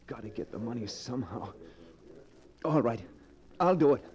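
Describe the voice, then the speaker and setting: A man's voice: mid-range voice, lively intonation, moderate pace, close to an everyday voice. Man acting a nervous, reluctant American locksmith. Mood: resigned. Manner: hesitant, faltering, uneasy. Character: anxious, reluctant honest locksmith, male, desperate and timid. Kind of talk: 1940s American radio thriller acting. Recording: old 1940s radio recording, music behind.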